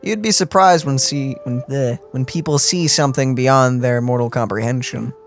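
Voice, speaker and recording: A man's voice: mid-range voice, normal speed, faint music behind. A man co-hosting a game stream with casual reaction commentary. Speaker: streamer co-host.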